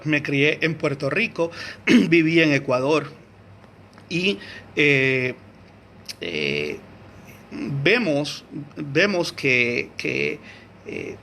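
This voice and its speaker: Bright-voiced man lecturing with many fillers. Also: a little high, slight background noise. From Venezuela. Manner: hesitant, many fillers and restarts, searches for words; clears his throat often. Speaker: teacher. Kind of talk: unscripted history lecture.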